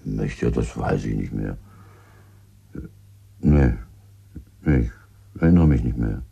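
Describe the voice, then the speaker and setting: A bitter, deep, husky villager. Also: male voice, lively intonation, dark tone, muffled recording, heavily acted. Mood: evasive. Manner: coarse, defensive, halting. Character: bitter, crude French village husband under murder suspicion. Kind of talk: German radio drama acting.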